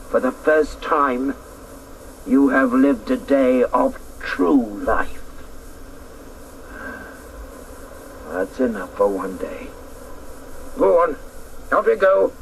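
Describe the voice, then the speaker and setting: A woman's voice: low-pitched, slight background noise, exaggerated voice, low-quality recording. An actress in a radio drama playing a scornful, vengeful old woman who taunts imperiously and draws out her words. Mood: mocking. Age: older. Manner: taunting, imperious, drawn-out theatrical delivery.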